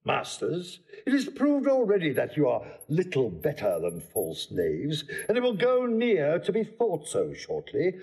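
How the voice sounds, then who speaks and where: An actor playing a pompous, bumbling old constable with a creaky voice, in broad Shakespearean comedy. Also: male voice, a little high, huge pitch swings, a little slow, few pauses, a little mellow, exaggerated voice. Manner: pompous, self-important, blustering. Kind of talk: broad comic Shakespearean acting.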